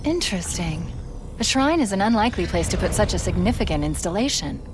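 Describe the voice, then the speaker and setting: Casting: woman to voice female military AI for a sci-fi game, articulate, confident, analytical, mid pitch. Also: sing-song, a little fast, background noise, close to an everyday voice. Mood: analytical, curious. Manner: crisp, articulate, briefing-like delivery. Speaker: tactical AI companion. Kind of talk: video game cutscene acting.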